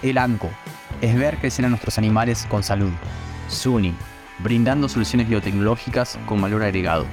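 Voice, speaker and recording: A man reading a scripted podcast intro and sponsor list in a smooth announcer manner. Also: lowish voice, normal speed. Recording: voice over guitar music.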